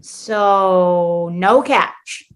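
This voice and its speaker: A woman's voice: fairly low pitch, extremely slow, clear, resonant tone. A woman acting in a comedic radio play as a disgruntled, petty schemer trapped in a holiday sitcom, theatrical and defiant. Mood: wary, curious.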